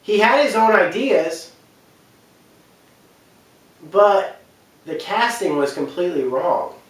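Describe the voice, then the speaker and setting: A man's voice: high voice, animated, slow. A man giving an unscripted, rambling opinion rant, repeating his points for emphasis. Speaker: online video commentator. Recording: echoey room, distant from the mic.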